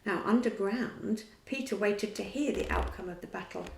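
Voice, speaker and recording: Creaky-voiced woman reading a story. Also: medium pitch, normal speed, slight background noise. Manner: dramatic, shifts to whispers. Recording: echoey room.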